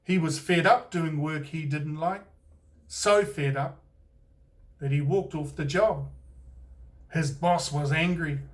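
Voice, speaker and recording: A man with a New Zealand accent reads a picture book aloud with an unhurried, expressive delivery. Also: on the high side, distant mic. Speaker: author and storyteller. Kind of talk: scripted picture-book narration.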